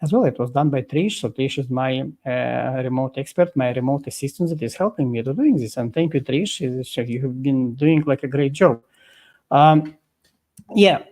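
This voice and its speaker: Halting man explaining with many fillers. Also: mid-range voice, darkish tone. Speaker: guest expert. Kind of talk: explaining in a live interview. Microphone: video call.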